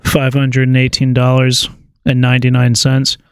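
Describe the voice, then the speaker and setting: A man chats casually on a phone call in a smooth, clear voice with little range in pitch. He stammers and hesitates, informal throughout, and the sound is dry. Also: monotone, moderate pace, no echo. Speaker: prank caller.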